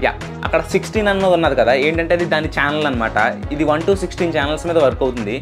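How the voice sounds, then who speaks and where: A man's voice: a little high, fast. A man chatting casually and explaining things as he hosts an unboxing and product demo. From India. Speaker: video host. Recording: loud music behind the voice. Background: loud music.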